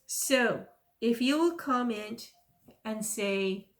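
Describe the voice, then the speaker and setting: A woman's voice: a little high, very slow. A woman, chatting casually and rambling into folksy, self-amused asides in her stitching vlog. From South Texas. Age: 50s. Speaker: stitching vlogger.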